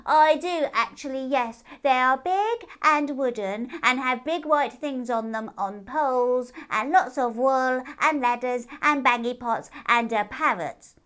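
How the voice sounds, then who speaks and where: A woman voicing a silly, stubborn male magical hare in a children's story, insisting on things with childish emphasis and drawn-out words. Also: high voice, fairly bright tone, clear, resonant tone, voice pushed up. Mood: stubborn, boastful.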